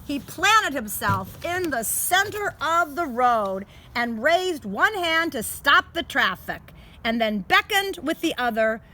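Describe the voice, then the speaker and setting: A woman reading a children's picture book aloud in a deliberate storytime manner, outdoors in a garden. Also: sits high, sing-song, sharp tone. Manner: deliberate, showing pictures to listeners. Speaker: storytime reader. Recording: clear outdoor background noise.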